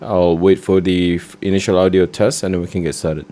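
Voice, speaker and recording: Man, slightly low voice, going hoarse, walks viewers through trades with lots of ums; webinar presenter. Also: flat delivery, a little fast, dark tone. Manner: explains step by step with frequent fillers. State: losing his voice. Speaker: forex trading presenter. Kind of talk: live trading webinar.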